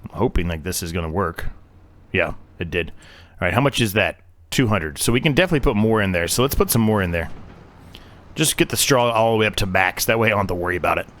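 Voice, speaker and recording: A man's voice: deep voice, expressive, fast talker, a bit bright. A man losing his voice, giving casual, rambling commentary on a farming game with lots of fillers and restarts. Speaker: game streamer.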